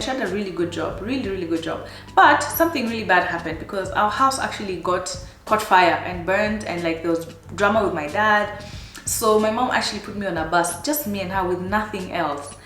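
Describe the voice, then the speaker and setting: A woman in her thirties with a Kenyan accent, chatting casually to her viewers in a life-update vlog, animated and laughing often. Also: lowish voice, echoey room. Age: 30s. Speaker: vlogger.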